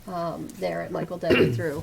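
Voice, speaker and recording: Lower-pitched woman speaking at a public meeting. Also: fairly even intonation, moderate pace, over a noisy crowd. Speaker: township official at a public meeting. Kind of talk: formal meeting remarks and procedural reading. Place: public meeting room, at a microphone. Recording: clear background noise and clicks.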